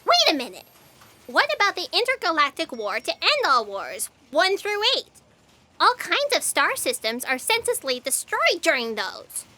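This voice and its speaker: A woman acting as an earnest, idealistic female fact checker in a comic radio play. The voice is very high and bright and swings in pitch as it questions everything. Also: wildly swooping pitch, normal speed, heavily acted. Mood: indignant. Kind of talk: scripted audio comedy drama acting.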